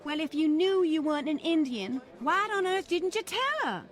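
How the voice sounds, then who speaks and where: Actress for genteel lady, female, high and animated, prim, protesting, old-time radio drama. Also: normal speed. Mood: shocked, flustered.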